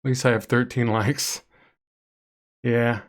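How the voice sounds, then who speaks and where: A man's voice: mid-range voice, little pitch movement, slow pace. A man with a nasal voice chatting casually with viewers while drawing on a live stream, rambling and reading chat aloud. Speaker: comic artist streaming a drawing session.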